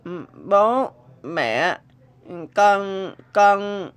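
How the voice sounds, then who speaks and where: A woman's voice: medium pitch, lively intonation, very slow, clear, resonant tone. A woman voicing a timid, weary little schoolboy in a cartoon, with a hesitant, pleading manner. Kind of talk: cartoon voice acting.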